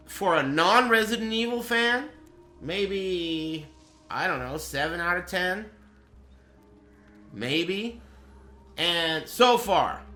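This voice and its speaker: A man's voice: high voice, sharp tone. A man talking casually as a game streamer, giving his impressions in a blunt, dryly sarcastic and profane way.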